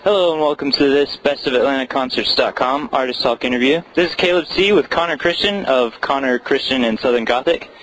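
Bright-voiced male interviewer in a club. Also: medium pitch, brisk, hardly any pauses, slight background noise, rough recording. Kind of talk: interview questions.

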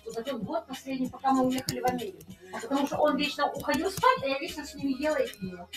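A woman casually reminiscing, telling lively anecdotes and repeating words for effect. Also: sits high, rapid-fire, roomy sound.

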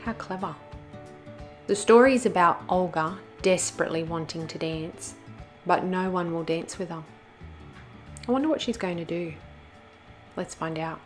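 A woman reading a children's storybook aloud as host, in an expressive style. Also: fairly low pitch. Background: soft music.

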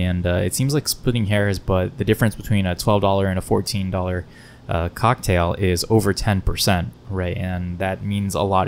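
A man hosting a casual podcast interview, speaking into a close microphone in a bar lounge. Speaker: podcast host. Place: wood-panelled bar lounge, indoors. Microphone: close podcast microphone on stand. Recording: low rumble and faint room noise.

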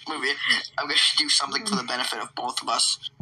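An excitable, playful person improvising in a casual in-game roleplay chat. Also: mid-range voice, fast, gravelly, recorded from a distance, background noise.

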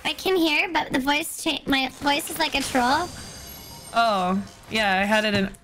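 A woman's voice: sits high, measured pace, fairly bright tone, faint music behind, poor audio. A woman in her twenties chatting casually and teasingly with teammates as she streams a game. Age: 20s. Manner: playful, teasing. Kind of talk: casual gameplay chat with teammates. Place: indoor home streaming room.

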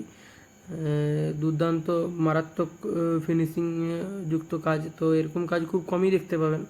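A young man with a West Bengal Bengali accent, casually presenting repair work in a halting, repetitive way, phrase by phrase. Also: fairly high pitch, a little mellow. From West Bengal, India. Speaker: speaker repair technician and video presenter. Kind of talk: casual product showcase narration.

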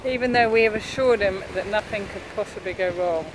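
A woman's voice: mid-range voice, fairly quick, background noise. A woman in her forties with a New Zealand accent, narrating a sailing vlog with dry, wry humour. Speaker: sailing vlogger.

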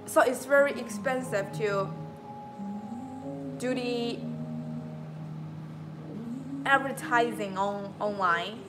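A woman's voice: on the high side, slow pace, pauses often, music in the background. A young woman with a Chinese accent hosting a live stream in a beauty showroom, chatting to viewers and repeating her words. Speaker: live stream host selling beauty machines. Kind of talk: live stream chat reading viewer comments. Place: indoor showroom with beauty machines.

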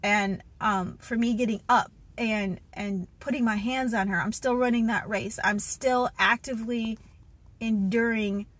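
A woman's voice: a little high, steady tone, measured pace. A woman in her thirties earnestly recounting a spiritual dream, with many fillers and restarts, filmed on a phone at arm's length in a car. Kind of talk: casual testimony and Bible reading. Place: inside a parked car. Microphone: phone held at arm's length.

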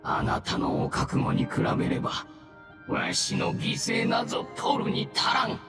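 An actor playing a dying ancient mushroom spirit in a game, weary and devoted, with a weak, halting, archaic old man's delivery. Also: very high voice, little pitch movement, roomy sound, music in the background. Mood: solemn, reverent. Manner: slow, archaic elderly speech, halting. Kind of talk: dramatic game dialogue acting.

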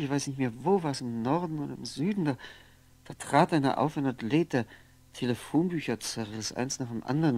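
A lonely man lost in his memories in a 1960s radio play. His medium-pitched voice crackles with fry. He muses haltingly, wry and wistful, repeating words and trailing off. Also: a little mellow. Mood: reminiscing. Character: lonely drinking man, wistful, sardonic, brooding over memories.

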